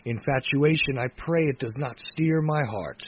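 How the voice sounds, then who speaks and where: A man reciting poetry dramatically, in a solemn, measured manner that moves between hushed phrase endings and emphatic phrases. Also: mid-range voice. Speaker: poetry reader. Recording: dull lo-fi recording with faint noise.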